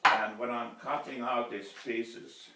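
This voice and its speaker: A man in his fifties with a Finnish accent, speaking English as a second language, talks casually in his wood shop, halting often and restarting his sentences. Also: mid-range voice, steady tone, heavy background noise. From Finland. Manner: slow, halting, many fillers and restarts. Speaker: woodturner vlogger. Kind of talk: casual workshop vlog. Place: cluttered indoor wood shop. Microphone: camera mic from several feet away. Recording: roomy workshop sound from a distance.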